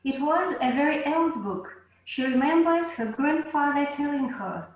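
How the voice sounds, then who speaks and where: A woman's voice: high-pitched, expressive, measured pace, very echoey, poor audio. A woman, an English teacher, reading narration carefully. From Russia.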